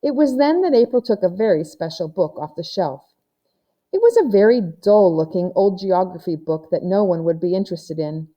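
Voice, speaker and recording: A woman's voice: fairly low pitch, animated, normal speed, dark tone, muffled recording. A woman narrating a children's novel as a read-aloud storyteller. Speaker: audiobook reader.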